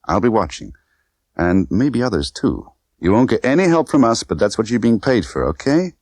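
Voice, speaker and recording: A man's voice: fairly low pitch, very animated, normal speed, a little mellow, no room sound, clean studio sound, pitched above the performer's own voice. A man voicing a tough, condescending young woman smuggler who talks in clipped, bossy slang. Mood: brisk, commanding.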